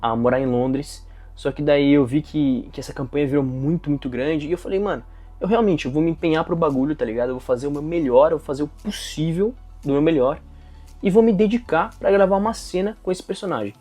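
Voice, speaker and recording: A young man from Brazil talks straight to his audience in a loose, slangy rant, cursing freely. His voice sits a little high but sounds warm and mellow. Soft music plays faintly behind him. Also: fairly quick. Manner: slangy, profane, animated venting. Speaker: aspiring actor vlogger. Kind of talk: casual talk to camera.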